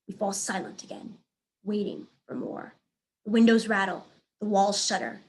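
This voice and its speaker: A woman's voice: medium pitch, moderate pace, a little mellow, poor audio. A woman reading aloud as a teacher, narrating a children's book expressively.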